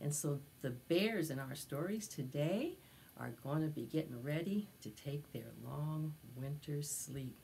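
Slow, expressive older woman reading storytime. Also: low-pitched, creaky, a little hiss or hum. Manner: expressive, drawn-out phrasing. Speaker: library preschool storytime host. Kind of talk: read-aloud picture book storytelling for young children. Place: small indoor space with decorated backdrop.

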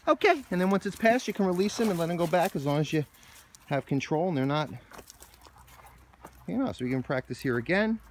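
A man's voice: fairly high pitch, expressive, a little fast, pauses often, slight background noise. A man with an American accent, a dog trainer explaining obedience steps while walking his dog on a hiking trail and calling commands to it. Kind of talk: outdoor training demonstration.

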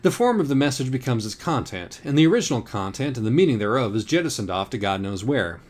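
A man reading scripted audiobook narration in a wry, deliberate, literary manner. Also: medium pitch, very animated, darkish tone. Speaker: audiobook reader.

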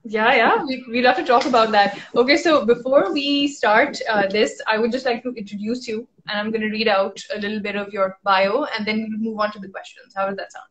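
A woman's voice: a little high, brisk, few pauses, fairly bright tone, highs cut off. A woman, hosting a casual live interview from a room at home on a phone. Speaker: host and interviewer. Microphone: phone at arm's length.

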